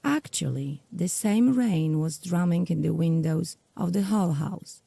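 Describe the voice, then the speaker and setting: A woman narrating a scripted period audio drama in a literary, measured storytelling manner. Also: lowish voice, gravelly, dark tone, husky, no echo.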